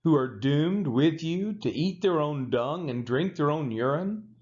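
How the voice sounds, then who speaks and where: A man's voice: a little high, expressive, a little slow, a little mellow. A man reading the lines of a haughty male Assyrian envoy who taunts a besieged city with mocking questions. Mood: taunting. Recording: dull lo-fi sound.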